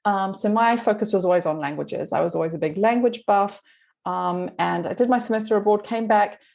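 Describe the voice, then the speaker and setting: A woman in her forties, raised in New Zealand, speaks with a Kiwi accent softened by years abroad. Her voice sits a little low for a woman. She talks in long, chatty runs, padded with ums and quick laughs. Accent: mixed New Zealand accent. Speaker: guest, marketing consultant. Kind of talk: conversational interview.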